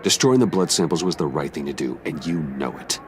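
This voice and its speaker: An actor playing a grave, principled masked vigilante in a video game, speaking tersely in a gravelly voice. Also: male voice, expressive, brisk, background noise. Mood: solemn, insistent. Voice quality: deep, gravelly. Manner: terse, solemn.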